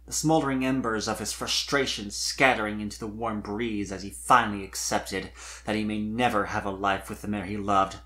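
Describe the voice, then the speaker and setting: A man reading a scripted story as narrator, in an expressive, wistful storybook manner. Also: on the low side. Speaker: fan fiction narrator.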